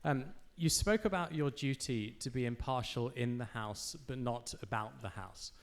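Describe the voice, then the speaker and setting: A man's voice: mid-range voice, moderate pace. A young adult man, a student, putting a prepared question to a speaker at a public forum.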